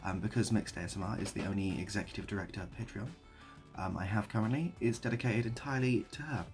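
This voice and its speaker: A man talking casually to camera in a rambling, self-deprecating way with deadpan whimsy. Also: on the low side, fast, soft background music. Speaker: video creator and host. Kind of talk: casual improvised monologue to camera.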